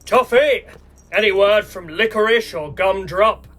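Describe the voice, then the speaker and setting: Man as high, theatrical villainous gang boss. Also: a bit bright. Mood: commanding. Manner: grandiose, measured, formal. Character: theatrical, courteous male villain, menacing gang boss of elves. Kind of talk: radio play acting.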